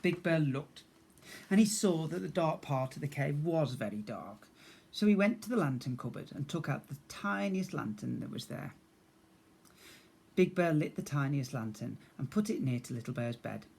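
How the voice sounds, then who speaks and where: A man narrating a children's storybook like a teacher, in a measured, expressive way. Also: on the high side, vocal fry, breathy edge.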